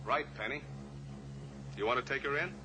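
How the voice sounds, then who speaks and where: An actor playing a calm, assured rancher-pilot in a 1950s radio drama, steady and fatherly in manner. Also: medium pitch, normal speed, background noise, natural voice. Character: steady heroic rancher-pilot, adult man. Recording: old radio recording with music.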